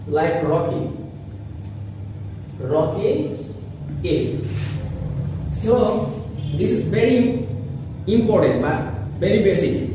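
Slow young man teaching English. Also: high-pitched, expressive, noisy background. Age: young adult. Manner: slow, repetitive explaining with many fillers. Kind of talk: beginner grammar lesson. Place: small indoor room with whiteboard. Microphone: camera mic from a distance. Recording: echoey room, far from mic.

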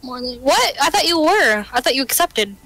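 A woman's voice: high-pitched, very animated, measured pace, pushed and bright, faint music behind. A woman chatting casually and playfully while gaming, swearing and reading game text aloud. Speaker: gamer, co-player.

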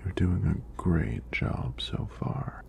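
Guy playing a flirty gentle male emt, asmr style, super slow half whispered gravelly deep voice, soothing. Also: animated, heavily acted. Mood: soothing, attentive. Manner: slow, soothing, reassuring, praising. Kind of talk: soft-spoken ASMR roleplay.

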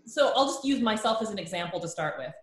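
A woman's voice: mid-range voice, expressive. Chatty woman on a roomy video call. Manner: chatty, thinks aloud with quick asides. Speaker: course instructor hosting. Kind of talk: conversational video-call interview. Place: living room with bookshelves. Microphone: laptop webcam on a video call.

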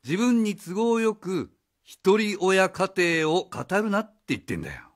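A man acts out a cynical, needling guy in a radio drama. His voice is fairly high for a man and swings widely in pitch. He is blunt, sarcastic and argumentative. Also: sing-song, a little slow.

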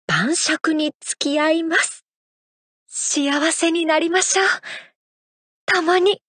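Graceful, affectionate idol heroine; high, unhurried delivery, close and dry. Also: female voice, no echo, heavily acted. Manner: soft, intimate, affectionate lines. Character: graceful, playful young adult female idol. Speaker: idol character in a mobile game. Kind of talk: game character voice lines. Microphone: studio mic.

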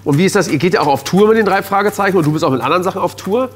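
A man's voice: sits high, very fast. A man in his thirties hosts a cooking show with a somewhat raised voice, talking in a chatty, joking way as he explains each step at the stove. He is recorded on a lapel mic in a studio kitchen set, with a light layer of noise behind him. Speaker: TV chef and host. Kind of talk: casual cooking show chat.

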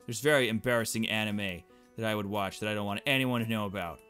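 A man's voice: lowish voice, fast, pushed and bright. A man playing a visual novel, reading it aloud with casual, playful, exclamatory reactions. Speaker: let's player. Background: game music.

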